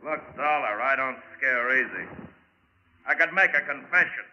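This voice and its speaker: An actor playing a menacing smuggler who talks in clipped threats and orders, in a 1940s radio drama on a dull old broadcast recording. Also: male voice, mid-range voice, lively intonation, moderate pace, lightly acted. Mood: defiant. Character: menacing male shipping-company smuggler.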